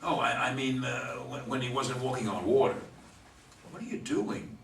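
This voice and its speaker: A man's voice: mid-range voice, lively intonation, moderate pace, creaky, a bit bright, roomy sound. A jittery, sarcastic drunk who needs detox played by a man in a stage reading. He is hesitant and exasperated, with a swooping delivery, caught by a distant mic with faint noise. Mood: uneasy.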